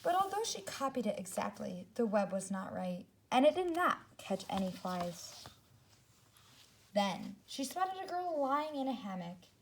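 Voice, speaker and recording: A woman teacher reads a picture-book story to young children in an expressive, deliberate way. Her pitch moves in lively swings and she takes her time over each phrase. There is faint noise behind her voice. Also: low-quality recording. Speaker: teacher reading aloud to second graders. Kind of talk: read-aloud storytelling with asides to children.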